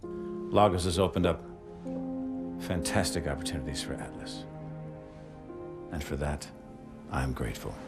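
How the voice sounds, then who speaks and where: An actor playing an older, commanding boss of a military company in a game cinematic, speaking in a measured, speech-making way with a deep voice. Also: male voice, loud music behind the voice. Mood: solemn, grateful. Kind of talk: video game cinematic acting.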